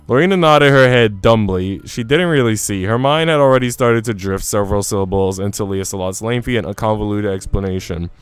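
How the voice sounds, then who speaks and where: A man's voice: fairly low pitch, brisk, few pauses, clean tone, dry recording. A man reading visual novel narration aloud as a storyteller. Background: soft music.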